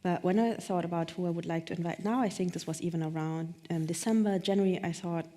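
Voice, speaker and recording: A woman's voice: fairly low pitch, fairly even intonation, quick pace, dark tone. A woman hosting a live on-stage interview, phrasing her thoughts tentatively as she speaks. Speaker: talk host. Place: live stage event at a theatre.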